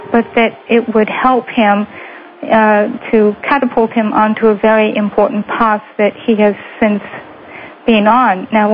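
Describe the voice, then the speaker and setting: A woman with a faded Lancashire accent, hosting a radio talk show and rambling warmly to fill dead air. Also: mid-range voice. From Lancashire, England. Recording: dull lo-fi radio audio with faint hiss.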